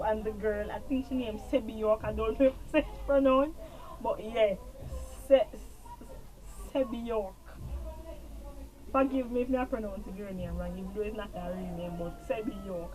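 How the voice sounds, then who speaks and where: A woman's voice: on the high side, darkish tone, poor audio. A woman with a Jamaican accent recapping a TV series in a casual, rambling way, repeating herself and trailing off with filler. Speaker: TV show reviewer.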